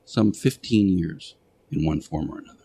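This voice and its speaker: Low-pitched man delivering a deliberate radio monologue. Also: gravelly, mellow, breathy edge, no room sound. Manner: deliberate, emphatic short phrases with pauses and repetition. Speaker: radio show host playing Jesus in interactive radio theater. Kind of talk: radio monologue, preaching on faith and morality.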